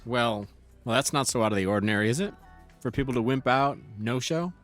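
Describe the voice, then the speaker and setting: A mid-pitched gang leader acted by a man talks casually and a little cagily. The delivery is plain-spoken audio-drama dialogue over faint music. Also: brisk, a bit bright, natural voice. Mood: cagey, curt. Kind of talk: scripted audio drama acting.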